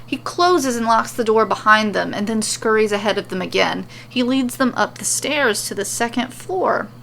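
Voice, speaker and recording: A woman narrates a scripted story as its storyteller. Her delivery is expressive and her voice has noticeable creak, with clear noise behind it. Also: on the high side, moderate pace.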